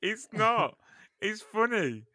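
A man's voice: high voice, sing-song, slow, bright, forceful tone. A man chatting as a podcast guest, laughing and reassuring the others. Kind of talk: casual chat.

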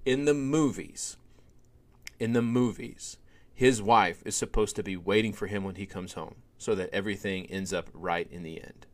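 Lively low-voiced man telling a story. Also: normal speed. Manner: animated storyteller, many fillers. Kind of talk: conversational podcast storytelling.

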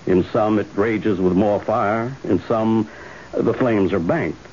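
An actor playing a calm, authoritative doctor in an old-time radio drama, measured and explanatory. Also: male voice, fairly low pitch. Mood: calm, grave. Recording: hissy, muffled old radio broadcast.

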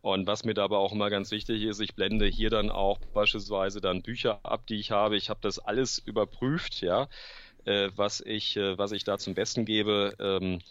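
A man with a cold, answering interview questions about his book in a halting way, clearing his throat, with many fillers and restarts. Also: fairly low pitch, little pitch movement, a bit bright, highs cut off. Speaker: author guest. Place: room full of books at home.

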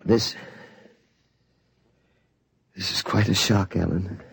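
An anxious, husky father. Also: deep voice, steady tone, takes it slowly, no echo, exaggerated voice. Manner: anxious, disbelieving questions. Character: worried middle-aged father. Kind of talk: 1940s radio acting.

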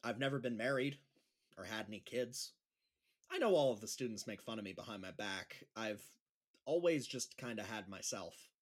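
A man's voice: on the low side, lively intonation, normal speed, husky. A man acting in an audio drama as a lonely, awkward school teacher, hesitant, earnest and self-deprecating. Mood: sad, confessional.

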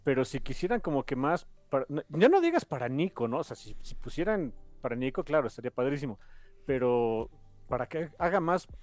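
A man's voice: mid-range voice, sing-song, brisk, darkish tone, dry recording, faint music behind. A man with a Mexican accent hosting a podcast, chatting casually in an enthusiastic, rambling way. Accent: Mexican Spanish. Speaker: podcast host.

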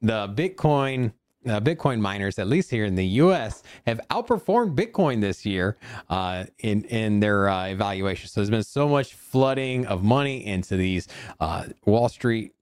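Animated casual male podcast host. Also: fairly low pitch, a little slow, smooth. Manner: informal, enthusiastic, repeats phrases as he thinks aloud. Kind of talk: casual crypto market commentary.

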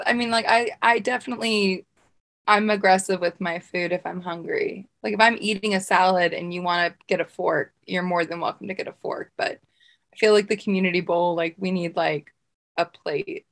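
Young woman co-host, slightly low voice, loose rambling chat. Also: steady tone, moderate pace, fairly bright tone. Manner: chatty, rambling, laughs often. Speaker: podcast co-host. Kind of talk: casual chat.

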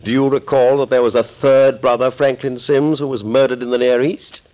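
An actor playing a sinister middle-aged doctor, florid and mock-polite, in a 1940s radio thriller. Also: male voice, mid-range voice, little pitch movement, moderate pace, a little mellow, lightly acted. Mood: smug, amused. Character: sinister middle-aged male doctor, suave, gloating schemer.

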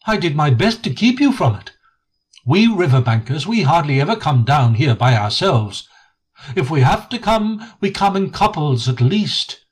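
A man's voice: on the high side, breathy edge, heavily acted. A man voicing a kindly, brave male water rat who talks in a soothing, hearty way.

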